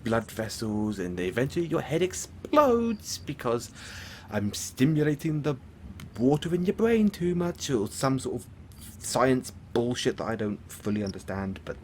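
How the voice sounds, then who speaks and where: A man playing a lonely, lovesick supervillain in an audio roleplay, theatrical and halting, often dropping into breathy near-whispers. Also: medium pitch, big pitch swings, a little hiss or hum. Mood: sheepish, rambling. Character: lovesick, lonely supervillain, suave musical phantom, obsessive and theatrical. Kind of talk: intimate audio roleplay acting.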